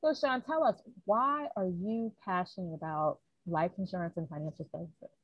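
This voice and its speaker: A woman's voice: lowish voice, normal speed, dry recording, lo-fi sound. A woman hosting a podcast interview, introducing her guest and asking him questions. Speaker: podcast host.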